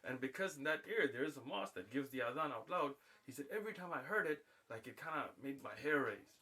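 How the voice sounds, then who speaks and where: A man from the United States spins a long story on a live stream, his voice bright and forward. He slips into the voices of the people he meets, so his delivery jumps and bounces. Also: medium pitch, fast. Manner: animated, acts out voices in anecdotes. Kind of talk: casual storytelling on a livestream.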